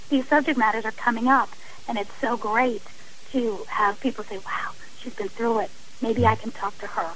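High-voiced woman, earnest radio guest, slight hiss. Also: fairly bright tone, poor audio. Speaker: radio interview guest, advocate against domestic violence. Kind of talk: earnest radio interview talk. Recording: hissy radio interview audio.

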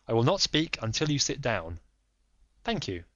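A man's voice: medium pitch, sing-song, a bit bright, dry recording, highs cut off, voice pushed up. A man doing a firm, commanding detective. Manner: measured, insistent, authoritative. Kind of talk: audiobook reading.

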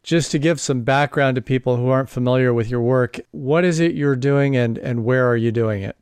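A man's voice: mid-range voice, a little fast, a little mellow, smooth, no echo, studio quality. A man hosting an interview, asking questions in an engaged, encouraging way. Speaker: interview host. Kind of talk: podcast interview.

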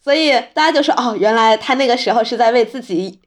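A woman's voice: fairly high pitch, fast talker. A woman hosting a podcast, explaining things in a casual, chatty storytelling way. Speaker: podcast host.

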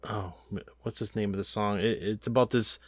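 A man's voice: on the low side, steady tone, moderate pace, a bit bright, no echo, studio quality. A man hosting a casual conversation, earnest and emotional, often hesitating mid-sentence.

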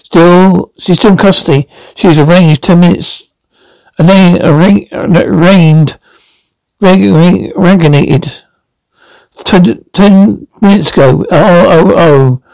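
A man's voice: high voice, slow pace, creaky, darkish tone, dry recording, low-quality recording. A man retelling a story and reading its dialogue, stumbling with many restarts.

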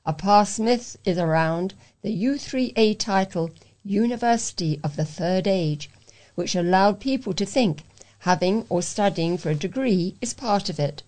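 A woman with a British accent reads printed letters aloud for a local talking newspaper. Her voice sits at a middle pitch, and she delivers the text as a volunteer reader would. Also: lively intonation, a little slow, a little mellow.

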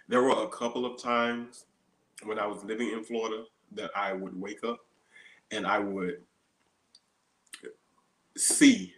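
A man's voice: fairly low pitch, a bit bright. A man in his thirties chatting casually as an interview guest, explaining his songs as a singer-songwriter. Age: 30s. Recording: roomy, echoey sound.

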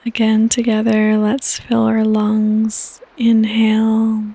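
A woman hosting a guided sleep meditation in a soft, breathy, partly whispered voice, with calm, gentle instructions and long pauses. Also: fairly high pitch, dry recording, rough recording. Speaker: podcast host and meditation guide.

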